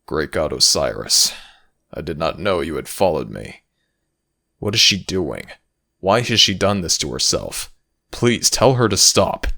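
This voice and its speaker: Gravelly, stern Egyptian jackal god acted by a man. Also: sits low, very animated, measured pace, sharp tone, pitched below the performer's own voice, full character voice. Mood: troubled, demanding. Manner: stern, formal, demanding, grudging. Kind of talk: dramatic ASMR audio roleplay.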